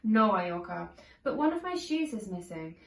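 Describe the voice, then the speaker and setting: Female picture-book reader. Lively, swooping delivery of dialogue, recorded in an echoey room a little off the mic. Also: mid-range voice, normal speed, recorded from a distance. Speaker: storybook reader. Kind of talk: children's read-along storytelling with acted dialogue.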